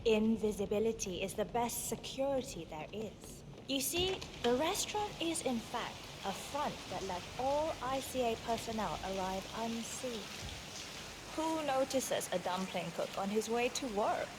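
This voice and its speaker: A woman playing a composed, businesslike guide at a secretive agency in a game, speaking in a formal, measured, official way. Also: a little high, animated, noisy background. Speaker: facility guide. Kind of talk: scripted game dialogue.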